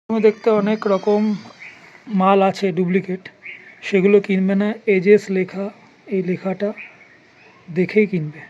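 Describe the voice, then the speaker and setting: A product reviewer talks straight to the viewer in an informal, explanatory way. The voice sits at a middle pitch. The recording carries clearly audible ambient noise behind the practical, hands-on commentary. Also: fairly even intonation, measured pace, pauses often, a little mellow, muffled recording. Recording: clearly noisy ambient background.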